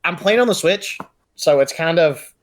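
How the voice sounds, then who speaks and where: A man co-hosting a podcast in casual, unscripted chat, talking in a loose, halting way and often qualifying what he says. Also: fairly high pitch, animated. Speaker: podcast co-host.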